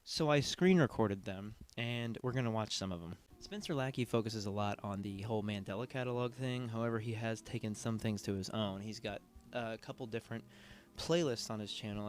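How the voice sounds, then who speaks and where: Low-voiced man doing casual commentary. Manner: informal, conversational. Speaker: video essay host.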